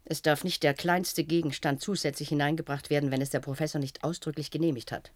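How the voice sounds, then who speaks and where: An actress playing a strict, cold matron in a German radio play, giving orders in a brisk, condescending, authoritative manner. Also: female voice, low-pitched, fairly even intonation, close to an everyday voice. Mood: stern, secretive. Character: strict, cold institutional matron with a callous streak. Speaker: head matron instructing a new attendant.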